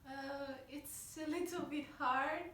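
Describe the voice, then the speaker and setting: Greek-accented young woman, slightly high voice. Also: steady tone, takes it slowly, a little hiss or hum. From Greece. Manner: hesitant, with frequent ums and soft laughs. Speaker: guest. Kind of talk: casual interview answers. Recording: echoey room, heard from a distance.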